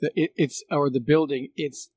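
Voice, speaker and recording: A man's voice: a little high, steady tone, normal speed, a little mellow, slightly rough. A middle-aged man chatting as a guest, reminiscing in a casual, nostalgic way. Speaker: guest, former radio technician.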